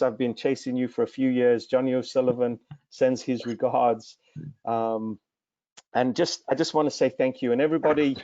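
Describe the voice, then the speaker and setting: A man's voice: medium pitch, warm tone, no room sound. A man whose first language is Greek hosts a webinar, lavishing praise on his guests. The sound is dry and close, with muffled lo-fi video-call quality. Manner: effusive, heaping praise on guests. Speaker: webinar host. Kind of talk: hosting an online webinar.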